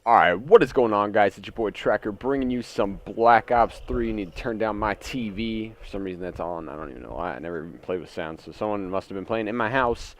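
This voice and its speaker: A man's voice: fairly low pitch, brisk, few pauses. A young man telling a casual, indignant, sweary story for his gaming channel. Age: teens to young adult. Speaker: gaming YouTuber. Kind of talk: casual story time over gameplay. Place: indoors at home.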